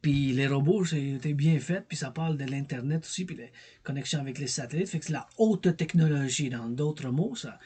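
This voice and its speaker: A man's voice: fairly high pitch, moderate pace, mellow, breathy edge. A middle-aged man with a Québécois French accent, talking through a rambling, digressive film review with many fillers and repeated words. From Quebec. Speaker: vlogger reviewing films.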